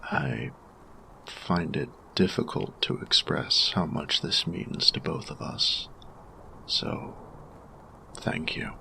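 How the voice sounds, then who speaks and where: A man playing a grumpy, reserved steampunk artificer in an audio roleplay, speaking formally and deliberately in a gravelly, breathy murmur. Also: rumbling low, expressive, sharp tone, slight background noise, full character voice, rough recording. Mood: grateful, careful. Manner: formal, measured, deliberate phrasing. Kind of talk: scripted audio roleplay addressed to the listener.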